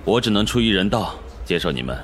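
Actor as weary male warden, deep voice, resigned, apologetic, music clearly behind, audio drama. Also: very animated, quick pace. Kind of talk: Chinese fan audio drama acting.

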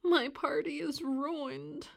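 A woman's voice: high voice, sing-song, a little slow, a little mellow, pitched above the performer's own voice. A woman voicing a bossy, dramatic little birthday girl in a children's story, with a demanding manner. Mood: upset. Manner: demanding, exclamatory.

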